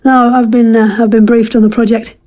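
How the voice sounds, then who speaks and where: A woman's voice: on the high side, level tone, a little fast, a little mellow, a little hiss or hum, lightly acted. A woman playing a polite, guarded doctor in an audio drama, formal and hesitant. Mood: hesitant, courteous.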